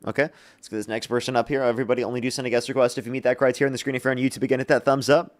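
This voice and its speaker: A young man hosting a live debate stream, briskly taking callers and repeating his stock lines to viewers. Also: medium pitch, flat delivery. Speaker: livestream debate host. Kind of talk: live-stream call-in chat.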